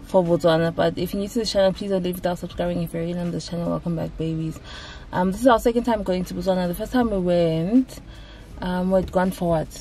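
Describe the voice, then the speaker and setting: A woman's voice: on the low side, fast talker, mellow, rough recording. A woman in her thirties with a South African accent, chatting casually and emphatically to camera in a car as a vlog host. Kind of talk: casual chat to camera with code-switching. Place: inside a car. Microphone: camera at dashboard distance.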